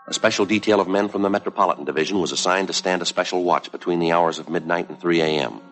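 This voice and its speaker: Stoic American detective, low-pitched, clipped deadpan narration, 1950s TV soundtrack, music behind. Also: male voice, fast. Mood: flat, businesslike. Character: stoic, businesslike male police detective sergeant narrating cases. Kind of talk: 1950s police drama narration, terse and factual.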